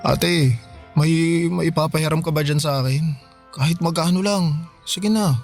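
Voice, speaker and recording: A man's voice: fairly high pitch, moderate pace, vocal fry, soft background music. An actor playing a broke young man who pleads and defends himself to his older sister. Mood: pleading. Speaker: younger brother.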